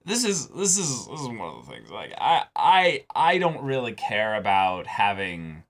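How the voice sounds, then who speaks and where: A man's voice: medium pitch, wildly swooping pitch, measured pace, a bit bright. A man in a casual discussion as co-host of a reaction show, speaking emphatically and repeating phrases as he builds his point.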